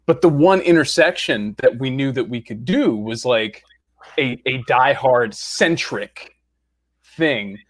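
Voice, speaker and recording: A man's voice: mid-range voice, lively intonation, slow. A young man co-hosting a podcast in casual chat, rambling with restarts and frequent laughs. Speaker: podcast co-host.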